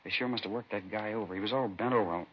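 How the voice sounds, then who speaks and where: Actor as rattled, fast-talking male bar owner. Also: on the low side, lightly acted. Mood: uneasy. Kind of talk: 1950s radio drama acting. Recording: muffled, noisy old radio recording.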